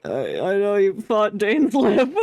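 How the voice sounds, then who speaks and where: A woman's voice: mid-range voice, huge pitch swings, slow, creaky, a little mellow, dry recording, studio quality. A woman streaming a game, giving casual, playful and emotive live reactions to the story. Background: game music.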